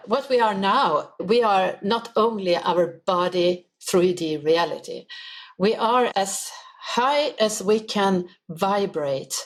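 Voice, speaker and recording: A woman being interviewed as a spiritual healer, explaining her ideas earnestly in simple, uneven English phrasing. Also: fairly low pitch. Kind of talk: podcast interview answers.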